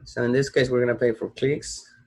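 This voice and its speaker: A man's voice: medium pitch, level tone, brisk, a little mellow, husky. A young man from the United States explains a screen-recorded tutorial, rambling through fillers and restarts and checking in with "okay?" as he goes. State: sleepy. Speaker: online marketing tutor.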